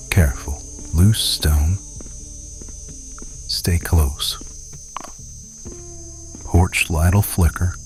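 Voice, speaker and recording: A man playing a brooding, protective alpha werewolf rancher boss in an intimate ASMR romance roleplay, murmuring in a terse, commanding way. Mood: low, watchful. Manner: terse, commanding, murmuring low with long pauses.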